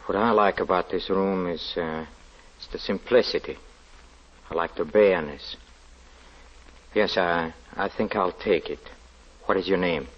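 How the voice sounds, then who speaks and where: A man's voice: low voice, unhurried, long pauses, a bit bright. An actor playing a weary, fanatically religious lodger in a 1940s radio drama, speaking hesitantly and repeating his words. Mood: guarded, quiet. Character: soft-spoken, weary, brooding, fanatically religious male lodger. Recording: muffled 1940s radio recording.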